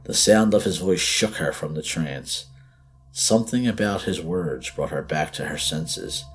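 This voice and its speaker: Very deep-voiced man narrating horror. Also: expressive, measured pace, a little hiss or hum. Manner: suspenseful, emphatic. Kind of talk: dramatic scripted horror storytelling.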